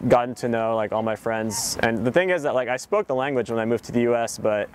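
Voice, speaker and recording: A man's voice: medium pitch, fast, slight background noise. A young man in a documentary interview, talking casually about where he grew up, with many fillers. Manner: relaxed, chatty, many fillers.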